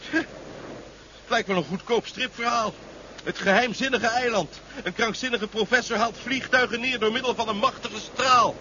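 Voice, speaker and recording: A man's voice: very high voice, moderate pace, bright, forceful tone. An actor playing a sardonic, jittery male co-pilot who grumbles and wisecracks in a 1970s Dutch radio drama. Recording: muffled, noisy 1970s radio recording.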